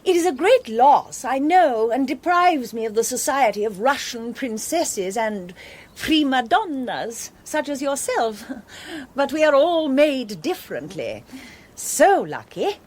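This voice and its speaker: A woman's voice: high-pitched, very animated, a little slow, darkish tone, exaggerated voice. An actress playing a haughty middle-aged English society queen in a radio comedy, with affected, falsely sympathetic grandeur and an upper-class accent. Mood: icy disdain. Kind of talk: British radio comedy acting.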